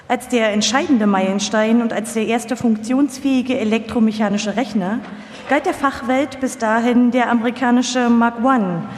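A woman's voice: on the high side, darkish tone. Female narrator in a very echoey hall. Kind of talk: scripted documentary narration, performed live. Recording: very echoey hall, long reverb.

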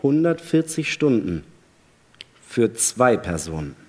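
A man playing a desperate, restless astronaut in a science fiction radio play, husky and halting. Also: medium pitch, very animated, a little mellow. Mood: tense, stunned. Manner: restless, halting, prone to cursing. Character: agitated, desperate male astronaut on a moon station.

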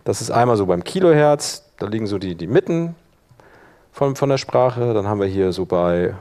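A man's voice: lowish voice, animated, moderate pace, darkish tone. Male workshop presenter, colloquial, talks through each step as he demonstrates, thinking aloud. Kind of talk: informal software tutorial, demonstrating step by step.

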